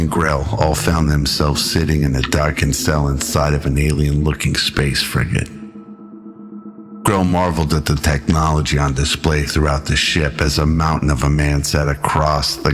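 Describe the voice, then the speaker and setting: A man narrating a scripted radio drama in a measured, dramatic storytelling manner. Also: extremely low pitch, level tone, fairly bright tone. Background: music.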